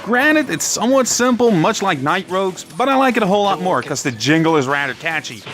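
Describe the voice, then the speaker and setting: A man's voice: sits high, expressive, a little fast, a bit bright. A man giving casual commentary as a guest on a video.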